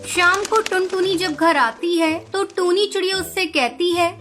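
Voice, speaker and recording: Female narrator for children's tales, very high voice, prominent music underneath. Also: measured pace, fairly bright tone. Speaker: story narrator. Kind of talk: scripted children's story narration. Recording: music behind the voice.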